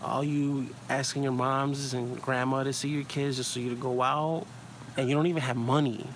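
A man's voice: medium pitch, flat delivery, normal speed, a little hiss or hum. A man with a Chicago accent in casual, slangy podcast chat, joking, swearing and rambling as a guest. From Chicago, USA.

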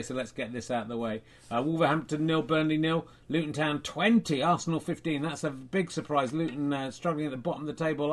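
A man whose speaking voice sits a little higher than most men's. He delivers his comedy patter in a dry, deadpan way and keeps wandering off on rambling asides as he reads. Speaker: comedy podcast host. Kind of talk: mock football results reading and chat.

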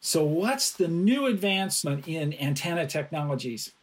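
A male podcast host with a somewhat high, husky voice puts friendly, encouraging questions to his guest. His tone stays relaxed and conversational. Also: normal speed. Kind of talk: interview hosting.

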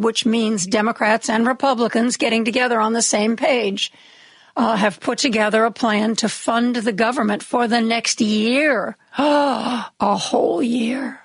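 Woman radio host, raspy, gravelly, chatty opinionated news talk, slow. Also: on the high side, no room sound. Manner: chatty, wry, opinionated asides. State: raspy voice from medication. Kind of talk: casual news commentary. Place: radio studio.

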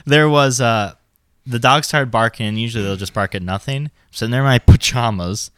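A man's voice: medium pitch, normal speed, clean tone, dry recording. A young man co-hosting a podcast, telling stories in a laid-back, self-deprecating way and laughing often. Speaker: podcast co-host. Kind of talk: casual chat.

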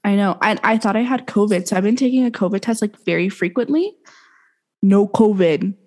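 A woman's voice: mid-range voice, a little fast, darkish tone. A young woman co-hosting a casual podcast, giggly and slangy, with a slightly sore throat. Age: young adult. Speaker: podcast co-host. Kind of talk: casual chat.

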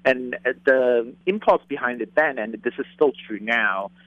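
A man's voice: mid-range voice, a little slow, dry recording, phone-quality sound. A theater director from Turkey, a man, answering interview questions in a reflective way with small fillers.

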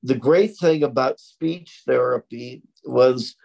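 A middle-aged man talks in an interview at a very unhurried pace. His voice is loud and projecting, and he over-articulates each word with care. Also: medium pitch, vocal fry. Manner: slow, deliberate, over-articulated speech. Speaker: interview guest, stroke survivor. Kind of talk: conversational interview.

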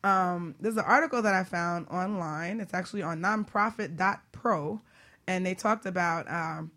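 A woman hosting a talk show and chatting casually with a guest in an informal, expressive, slangy way. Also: on the low side, normal speed. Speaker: talk show host and business coach. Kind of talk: casual interview chat.

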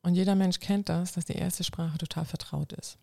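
Gravelly woman, earnest. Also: lowish voice, fairly even intonation, moderate pace, darkish tone, dry recording, clean studio sound. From North Frisia, northern Germany. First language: Low German. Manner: earnest, explains at length with restarts. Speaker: guest, coach and civil servant. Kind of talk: casual interview answers.

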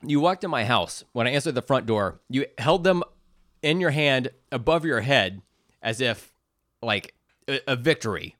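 A man chats as a podcast co-host with lively, swinging intonation. He is enthusiastic and crude in his joking, and the sound is dry and close. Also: mid-range voice, normal speed, no echo. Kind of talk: casual comedic banter, taste-testing sodas.

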